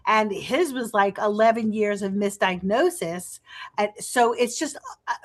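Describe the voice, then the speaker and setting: A woman, a participant on a group video call, chatting in a rambling way with many fillers. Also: medium pitch. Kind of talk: casual chat.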